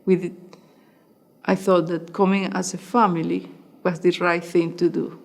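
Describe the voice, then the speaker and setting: A woman's voice: lowish voice, flat delivery, gravelly. An older woman from Venezuela, a native Spanish speaker, speaking thoughtfully in a documentary interview. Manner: slow, thoughtful, laughs while retelling. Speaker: interviewee, immigrant mother.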